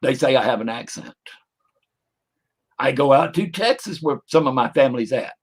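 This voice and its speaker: A man's voice: medium pitch, animated, moderate pace, slightly rough. An older man, a demonologist guest in a casual livestream interview, talking in a rambling, folksy way with jokey asides.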